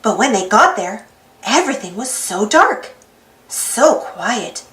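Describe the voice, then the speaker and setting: A woman reading a children's storybook aloud in an expressive, theatrical style as the host. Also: fairly high pitch, slow. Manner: expressive, theatrical, exaggerated delivery. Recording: roomy echo with music behind. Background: music.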